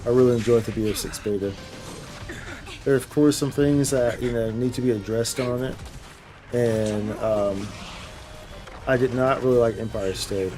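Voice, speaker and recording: A man's voice: mid-range voice, normal speed, pauses often, music in the background. A man chatting casually and slangily as he streams games, often repeating phrases for emphasis. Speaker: game streamer, host. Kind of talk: casual livestream chat while gaming.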